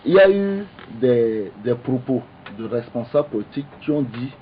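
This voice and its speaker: A man, an opposition politician debating on the radio, halting with many restarts but insistent. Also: medium pitch, lively intonation, narrow, tinny audio, slight background noise. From Togo.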